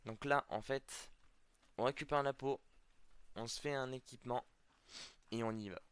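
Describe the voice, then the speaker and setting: A man's voice: mid-range voice, normal speed, a bit bright, smooth, dry recording. A man with a slight cold chatting casually to viewers while he plays a video game, hesitant and full of fillers. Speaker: gameplay video host. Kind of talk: casual let's-play commentary to viewers.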